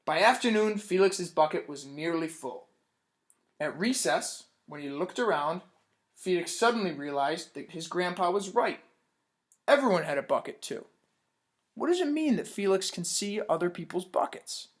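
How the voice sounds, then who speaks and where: A man's voice: sits high, expressive, moderate pace. Man reading a picture book to kids. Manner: stops to quiz listeners and praise their answers. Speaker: teacher. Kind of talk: read-aloud storytime for children.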